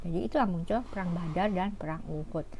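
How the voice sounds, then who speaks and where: A woman's voice: fairly low pitch, quick pace, a little mellow, a little hiss or hum. A woman giving an informal history talk, explaining in a conversational way with rhetorical asides. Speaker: history storyteller. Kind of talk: informal spoken history lecture.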